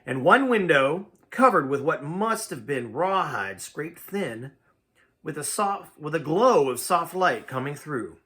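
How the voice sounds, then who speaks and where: A man's voice: on the high side, very animated, unhurried, a bit bright. A man reading a first-person tale aloud in a dramatic, folksy storytelling manner. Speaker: amateur audiobook reader.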